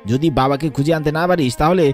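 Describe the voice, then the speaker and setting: A man's voice: a little high, fast talker, darkish tone, soft background music, lightly acted. Man playing a sneering, greedy villain brother. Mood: scornful. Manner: sneering, mocking, bossy. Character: greedy, scornful villain, younger brother of landowner. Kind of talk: melodramatic cartoon voice acting.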